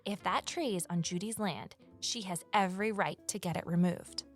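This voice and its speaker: An actress playing a wary amateur sleuth and former journalist in a naturalistic audio drama, speaking in a cautious, matter-of-fact way. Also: female voice, fairly low pitch, normal speed, faint music behind, lightly acted.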